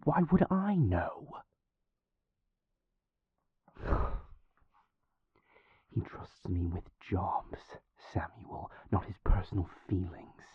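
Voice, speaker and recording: A man playing a guarded, world-weary vampire in an intimate audio roleplay, speaking formally and measuredly in a breathy, gravelly near-whisper. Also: low-pitched, big pitch swings, dry recording, phone-quality sound, exaggerated voice. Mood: irritated, curt. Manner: formal, measured, clipped, sighing diction.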